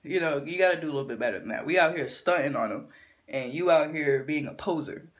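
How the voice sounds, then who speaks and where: A woman with a deep voice gives an animated opinion rant to camera, often checking in with her listener. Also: fast. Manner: animated, keeps asking "you know what I'm saying". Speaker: vlogger.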